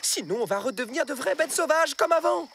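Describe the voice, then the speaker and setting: Cocky, animated cartoon fox. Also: male voice, very high voice, fairly quick. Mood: urgent. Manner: boastful, animated. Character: cocky scheming male fox, fast-talking ringleader. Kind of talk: children's cartoon dub. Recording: music behind.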